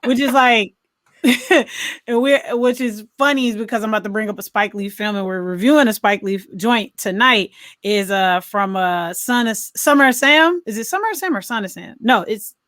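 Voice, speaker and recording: A woman's voice: on the high side, normal speed, fairly bright tone, dry recording, studio quality. A woman co-hosting a podcast in casual conversation, often repeating words and phrases as she reacts. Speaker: podcast co-host. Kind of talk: casual chat.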